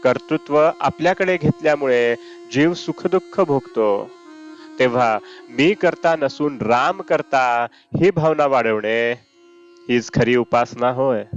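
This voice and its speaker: Slow, measured man reading a devotional discourse. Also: mid-range voice, dull audio. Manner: measured, deliberate phrasing. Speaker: reader of spiritual discourses. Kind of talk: read-aloud devotional discourse. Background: Indian classical raga music with sitar.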